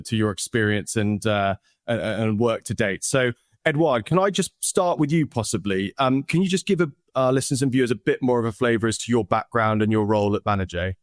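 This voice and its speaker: A man's voice: medium pitch, brisk, no room sound, studio quality. A man hosting an interview podcast, effusive and eager, often repeating words and restarting sentences as he asks questions. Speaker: podcast host.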